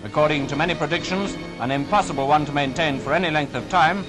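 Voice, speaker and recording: A man's voice: fairly high pitch, fast talker, over music. A man reading scripted commentary as a newsreel narrator.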